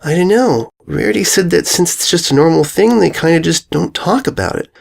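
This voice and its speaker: Man voicing a hesitant, raised-pitch little schoolgirl pony. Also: animated, a little fast, vocal fry, exaggerated voice. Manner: hushed, stammering, hesitant. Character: shy, anxious young schoolgirl pony. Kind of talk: storybook character reading.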